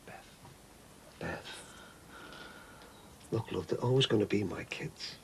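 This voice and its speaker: A blunt Scouse dad. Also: male voice, lowish voice, expressive, slow pace, a little hiss or hum. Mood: pleading. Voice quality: blunt, coaxing, calls people love. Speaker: father. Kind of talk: 1990s TV soap acting.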